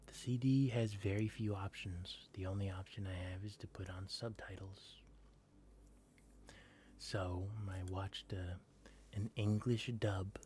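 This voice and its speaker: Slow deep-voiced whispering man. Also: a little hiss or hum. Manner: soft whisper, slow and drawn out. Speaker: streamer. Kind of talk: whispered ASMR chat with live viewers. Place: home at night.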